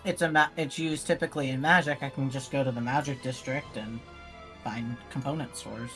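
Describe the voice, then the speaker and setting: A man's voice: mid-range voice, steady tone, brisk, a bit bright. A man chatting casually as a player in a tabletop roleplaying game. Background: faint music.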